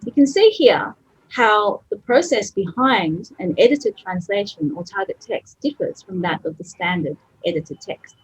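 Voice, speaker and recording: A middle-aged woman giving a chatty, lively presentation to colleagues. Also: medium pitch, measured pace, husky, poor audio. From Australia. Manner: lively and chatty, laughs easily. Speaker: conference speaker.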